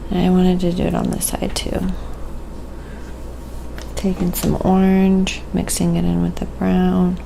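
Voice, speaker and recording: A soft-spoken woman chatting casually and unhurriedly on a livestream, narrating her ASMR painting and thanking viewers. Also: lowish voice, completely flat delivery, measured pace, gravelly, pauses often, a little hiss or hum. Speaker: live streamer, painting artist.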